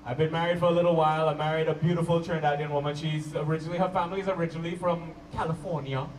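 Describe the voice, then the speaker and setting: A man with a Canadian accent performing stand-up comedy to a live audience, telling stories playfully with drawn-out emphasis and pauses. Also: fairly high pitch, flat delivery, reverberant. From Toronto, Canada. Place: live comedy show at a park venue. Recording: distant mic, hissy live-show camcorder sound.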